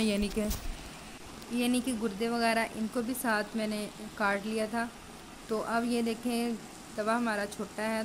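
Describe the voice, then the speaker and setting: A woman home cook talks casually through each step of her recipe. Her voice sits at a medium pitch. A phone over the pan picks her up with clear background noise and sizzling. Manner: chatty, explains steps while cooking. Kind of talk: cooking tutorial narration. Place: home kitchen at a stove. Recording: noisy home recording.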